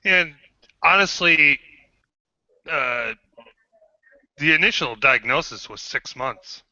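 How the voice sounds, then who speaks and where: A man in his fifties, a guest on an online panel, speaking haltingly with many pauses and restarts. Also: fairly high pitch, lively intonation, pushed and bright, no room sound, dull audio. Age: 50s. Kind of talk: casual group chat.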